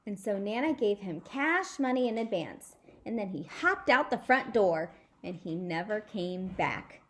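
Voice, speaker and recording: A woman's voice: medium pitch, lively intonation, a little slow, fairly bright tone. A woman voicing a snooty, tattling little girl in a storybook, huffy and prim in manner.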